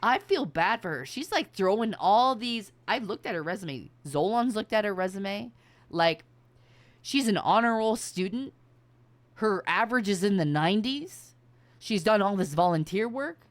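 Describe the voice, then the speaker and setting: A woman's voice: mid-range voice, moderate pace, no room sound. A middle-aged woman streamer chatting casually and emphatically, with plenty of swearing. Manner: animated, emphatic, swears freely. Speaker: VTuber streamer. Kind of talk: casual livestream chat.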